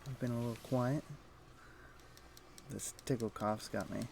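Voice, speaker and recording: A man's voice: mid-range voice, fairly even intonation, unhurried, gravelly, darkish tone, slight background noise. A man casually commentating a game live for his chat, reacting in short offhand remarks. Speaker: game streamer.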